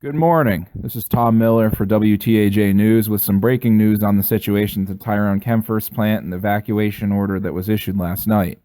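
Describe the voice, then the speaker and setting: A man's voice: on the low side, fairly even intonation, a little fast, hardly any pauses, mellow, dry recording. A man acting as news anchor, reading a scripted breaking news report in a formal, matter-of-fact way.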